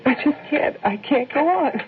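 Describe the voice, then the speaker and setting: A woman's voice: high-pitched, lively intonation, moderate pace. A woman acting as a fragile, distraught wife and mother in an old-time radio drama, fretfully repeating herself. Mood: desperate, fretful. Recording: tinny, hissy old radio broadcast.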